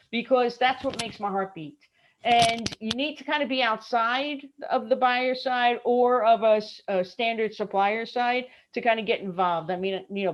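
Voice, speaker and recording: A middle-aged woman with a Brooklyn accent, chatting lively as an interview guest on a video call and laughing often. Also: a little high, highs cut off. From Brooklyn, New York. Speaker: guest, travel industry consultant. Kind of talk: casual interview chat.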